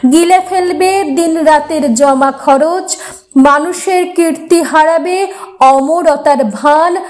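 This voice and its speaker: A woman reciting poetry in a dramatic, expressive and deliberate way, with measured pauses between phrases. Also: extremely high pitch. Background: music.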